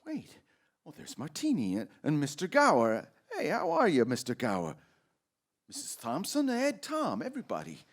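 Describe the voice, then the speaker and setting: A man's voice: on the high side, wildly swooping pitch, moderate pace, exaggerated voice. An actor playing an overjoyed small-town husband and father in a radio drama, stammering and exclaiming. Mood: bewildered joy. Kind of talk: old-time radio drama acting.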